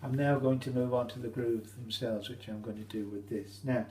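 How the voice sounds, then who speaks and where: Mellow British man, roomy echo. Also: medium pitch, steady tone. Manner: hesitant, halting, explanatory. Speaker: hobbyist demonstrator. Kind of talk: informal how-to demonstration. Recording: roomy echo, faint hum.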